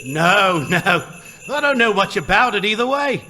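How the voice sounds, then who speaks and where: A man's voice: high voice, big pitch swings, measured pace, sharp tone, a little hiss or hum, exaggerated voice. A man acting in a live radio play, playing a gossiping businessman who chuckles and mocks. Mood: mocking. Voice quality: chuckling, mocking.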